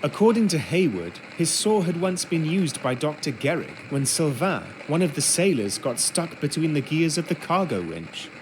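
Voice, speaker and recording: An actor playing a polite, earnest young ship's steward in a period video game, speaking in articulate, measured words. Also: male voice, fairly high pitch, very animated, slight background noise, poor audio. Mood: reflective, wry. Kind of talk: video game character acting and first-person narration.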